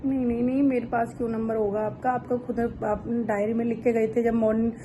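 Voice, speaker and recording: A woman's voice: a little high, flat delivery, brisk, noisy background, natural voice. A woman playing an aggrieved, guilt-tripping shopkeeper on a prank call, plaintive, insistent and a little hesitant. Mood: reproachful, wounded. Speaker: prank caller. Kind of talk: improvised prank phone call.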